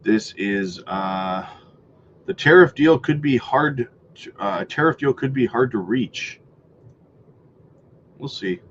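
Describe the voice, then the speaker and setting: Hoarse man hosting a crypto livestream. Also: mid-range voice, animated, slow pace, long pauses, lo-fi sound, slight background noise. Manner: rambling, many fillers, reacts to chat. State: losing his voice. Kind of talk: casual livestream chat reading viewer comments.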